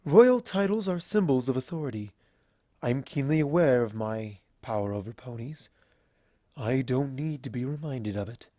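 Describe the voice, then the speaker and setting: A performer voicing an aloof, wry female alicorn princess in a storybook reading, cool, measured and regal. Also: mid-range voice, lively intonation, warm tone, no room sound, clean studio sound, lightly acted. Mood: reserved, weary.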